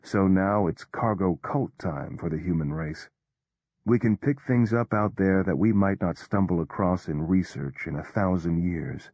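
Deep husky male storyteller. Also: darkish tone, no room sound. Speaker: narrator. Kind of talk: first-person audiobook narration.

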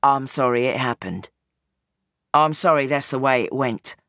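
A woman reads as an aging crime boss in a storybook, low and heavy, calm and unrepentant. His deep voice sits far below the narrator's, sounding tired and settled. The sound is dry and close. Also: a little slow, no room sound, heavily acted. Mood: resigned.

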